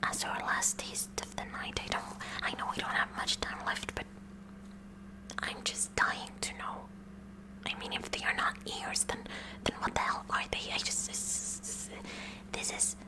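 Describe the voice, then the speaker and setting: A woman voicing a kooky, curious high school science club president in a halting, excitable breathy whisper for an ASMR roleplay. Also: natural voice, low-quality recording. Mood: intensely curious.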